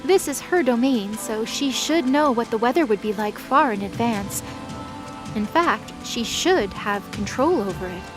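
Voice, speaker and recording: A woman narrates a dramatic scripted fantasy tale. Her voice is fairly high. Music plays clearly behind her reading. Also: expressive, unhurried, poor audio.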